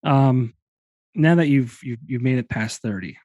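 A man's voice: mid-range voice, a little slow, warm tone, no echo. A man in his late thirties hosting a podcast, musing casually with loose fillers. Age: 30s. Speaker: podcast host. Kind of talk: casual conversation.

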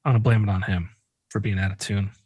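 Animated self-mocking man in his forties. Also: on the low side, quick pace, breathy edge, no room sound. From northeastern Pennsylvania. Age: 40s. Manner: animated, self-mocking storyteller who swears freely. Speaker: podcast host. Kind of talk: casual chat.

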